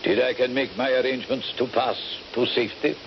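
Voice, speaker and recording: An actor playing a menacing, smug captor in a radio drama, commanding and formal. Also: male voice, mid-range voice, fairly even intonation, a little fast, lightly acted. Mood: coldly threatening. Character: menacing, smug captor threatening his prisoners. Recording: muffled, hissy 1970s radio recording.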